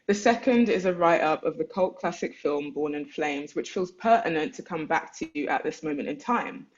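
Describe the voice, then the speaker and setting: An artist lectures online about their own thesis and reads their own letters aloud. The delivery is reflective and searching, feeling its way through ideas. The voice sits at a middling pitch, heard through a dull, lo-fi call connection. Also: animated, moderate pace.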